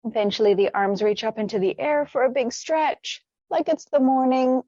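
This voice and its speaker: Female meditation guide. Soothing, unhurried delivery, medium pitch, close dry sound, a touch muffled. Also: steady tone, dry recording. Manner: gentle, unhurried, soothing instruction. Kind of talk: guided meditation with gentle stretches.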